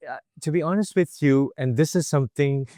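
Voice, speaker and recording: A young man from Malaysia, a visual artist answering quick interview questions in a chatty way with many fillers and little laughs. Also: on the high side, mellow, no room sound, studio quality.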